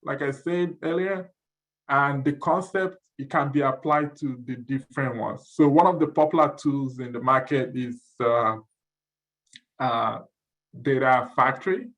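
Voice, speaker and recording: A man from Nigeria walks listeners through tools at an online info session in a mid-range voice. He speaks in a relaxed way, stopping and repeating words as he thinks. It sounds like a video call. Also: mid-range voice, a little slow. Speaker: presenter.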